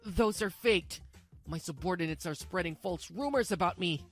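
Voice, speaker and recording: A woman's voice: on the low side, moderate pace, faint music behind, natural voice. A woman voicing a self-pitying, evasive young husband in a dubbed manga drama, stammering and pleading his excuses. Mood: indignant denial.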